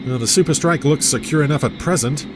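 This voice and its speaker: A man voicing a calm, dutiful young male rescue pilot who reports in a businesslike way. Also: a little high, fast talker, heavy background noise, pitched above the performer's own voice, lightly acted. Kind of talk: audio adventure story reading.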